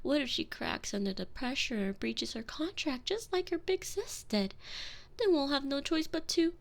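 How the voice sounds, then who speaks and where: A woman voicing a seductive, commanding vampire mistress in an intimate audio roleplay, teasing and manipulative, often dropping to soft whispers. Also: high-pitched, lively intonation, normal speed, vocal fry. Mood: taunting. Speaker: voice actress in a one-sided audio roleplay. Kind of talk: intimate binaural roleplay, addressing the listener.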